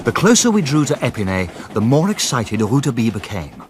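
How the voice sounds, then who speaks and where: An actor playing a loyal, mildly put-upon gentleman companion who narrates a radio mystery drama. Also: medium pitch, very animated, very noisy, natural voice. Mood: measured storytelling. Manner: measured storytelling, hesitant and long-suffering in dialogue.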